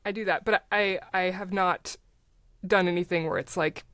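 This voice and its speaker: A woman voice actor telling stories casually as a podcast guest, enthusiastic, expressive and informal. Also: lowish voice, normal speed, no room sound, clean studio sound. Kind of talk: casual conversational storytelling.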